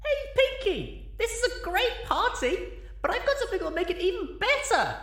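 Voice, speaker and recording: A man voicing a hyper, excitable, pushy female party pony from a story. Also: very high voice, normal speed, reverberant, pitched above the performer's own voice, heavily acted. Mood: manic, pushy.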